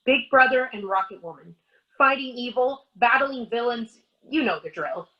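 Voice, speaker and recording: A fifteen-year-old superhero fangirl, read by a woman, chatty and wistful, with a fairly high voice. The sound is dull and lo-fi, as if it came through a video call. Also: expressive, moderate pace, close to an everyday voice. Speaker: actor in a staged reading. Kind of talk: staged play reading over video call.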